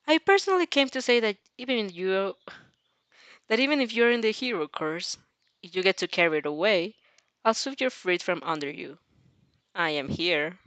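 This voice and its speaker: A woman's voice: medium pitch, a bit bright, no echo, natural voice. Girl doing serious teen boy outsider, defiant, challenging, dry close sound. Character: stern, challenging teenage boy, ambitious outsider student.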